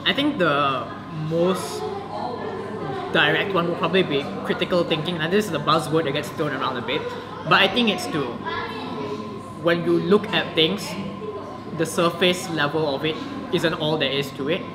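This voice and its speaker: Young man debater, loud crowd behind. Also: a little high, moderate pace. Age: young adult. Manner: thoughtful, articulate. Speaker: debater, guest. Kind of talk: casual interview.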